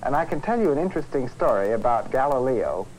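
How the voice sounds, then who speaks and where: An old educational film soundtrack with faint noise carries a man's mid-pitched voice. He lectures as a physics professor, explaining and demonstrating in a measured but conversational manner. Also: very animated, darkish tone, poor audio. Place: film studio.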